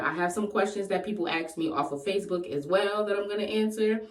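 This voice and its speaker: A woman of twenty-eight chatting casually in a question-and-answer vlog, playful and quick to laugh. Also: mid-range voice. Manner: playful, laughs often, breaks into sung bits. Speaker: vlogger. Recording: echoey room, voice far from the mic.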